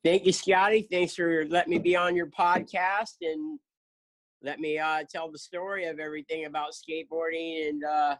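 A man's voice: fairly high pitch, level tone, normal speed, fairly bright tone, rough recording. A man in his fifties, a guest in a casual interview, telling his story in an earnest, chatty way. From southern United States. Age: 50s. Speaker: guest, charity founder.

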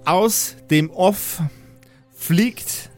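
Higher-voiced man game-mastering over music. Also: very slow, darkish tone. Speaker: game master and podcast host. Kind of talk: improvised tabletop narration and casual banter.

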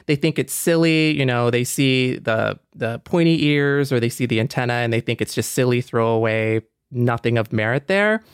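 Male podcast panelist, clean resonant tone, very dry recording, relaxed conversational delivery. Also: medium pitch, clean tone, dry recording. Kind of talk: casual conversation.

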